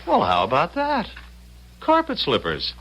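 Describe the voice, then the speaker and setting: Bright, lively radio-drama time traveler. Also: male voice, on the high side, unhurried, heavily acted. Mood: eager, curious. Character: male time traveler, eager, wry, affectionate husband-type. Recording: noisy old radio drama recording.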